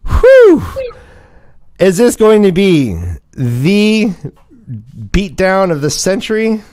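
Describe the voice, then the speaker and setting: A man's voice: high voice, huge pitch swings, takes it slowly, darkish tone, smooth. A man hosting a casual sports talk show, with playful, theatrical emphasis in his delivery. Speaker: host.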